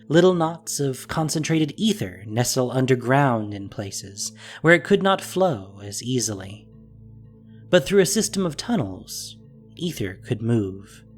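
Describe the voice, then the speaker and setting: A man reading scripted story narration as the narrator of an audio drama. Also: medium pitch. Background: music.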